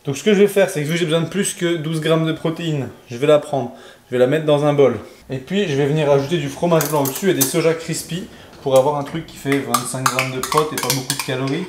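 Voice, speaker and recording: A man's voice: fairly high pitch, fast talker. A young man, a nutrition coach, chatting casually in a vlog as he explains his food and daily steps. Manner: chatty, explaining step counts and food as he goes. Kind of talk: casual vlog chat to camera. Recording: faint background noise.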